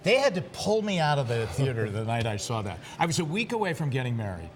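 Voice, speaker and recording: Animated man chatting on TV. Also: medium pitch, quick pace, noisy background. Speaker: TV news show host. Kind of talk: casual studio banter. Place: TV news studio.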